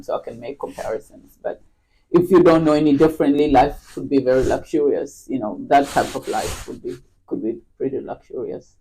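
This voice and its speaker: Lady, middle aged, podcast guest, slow easy chat full of ums and you knows. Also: deep voice, mellow, slight background noise, poor audio. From Kenya. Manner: unhurried and hesitant, many fillers and restarts. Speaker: podcast guest, real estate agent. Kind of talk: casual interview chat.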